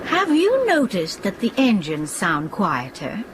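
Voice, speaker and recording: An actress playing an excitable, teasing airline passenger in a radio drama, animated and insistent. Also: female voice, medium pitch, slow, very noisy. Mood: curious. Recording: busy aircraft cabin behind voice.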